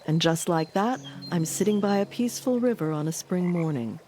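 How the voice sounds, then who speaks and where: A woman's voice: fairly low pitch, animated, normal speed, warm tone. A woman reading scripted narration as the host of a documentary podcast.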